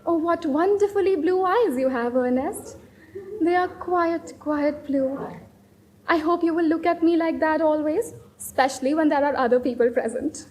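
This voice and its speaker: A woman's voice: high voice, normal speed, close to an everyday voice. A woman playing an imperious, fanciful young society lady in a stage comedy of manners, declaiming with affected, grand emphasis. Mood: coy, commanding. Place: indoor stage performance. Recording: roomy stage recording with faint noise.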